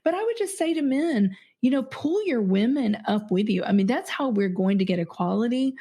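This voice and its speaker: A woman's voice: on the high side, expressive, moderate pace, husky. A middle-aged woman as an interview guest, talking earnestly with frequent fillers and easy laughter. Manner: warm, earnest, laughs easily, many fillers. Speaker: podcast guest, company CEO. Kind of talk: conversational interview.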